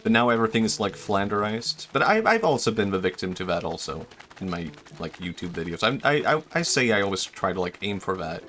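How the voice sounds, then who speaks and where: A man's voice: lowish voice, sing-song, fairly quick, few pauses, fairly bright tone, slight background noise. Young man musing aloud while gaming. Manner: rambling and self-reflective, trails off, many fillers and restarts. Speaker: streamer. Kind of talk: casual chat while gaming.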